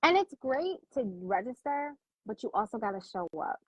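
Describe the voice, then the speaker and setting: Husky American woman chatting informally. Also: high-pitched, big pitch swings, moderate pace, dry recording, rough recording. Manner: emphatic, informal, encouraging. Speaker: talk show co-host. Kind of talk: casual explanatory chat.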